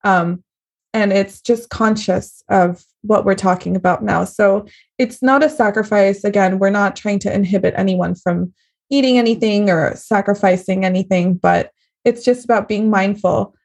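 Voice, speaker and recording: A young woman hosts a podcast interview in a slightly husky, mid-pitched voice. She asks friendly, agreeable questions that are full of fillers. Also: normal speed, a little mellow. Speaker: podcast host. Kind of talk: conversational interview.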